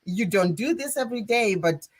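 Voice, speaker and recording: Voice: medium pitch, animated, normal speed. An interview host in their sixties, a former broadcaster who chats in a friendly, encouraging way and laughs easily. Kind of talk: casual interview conversation.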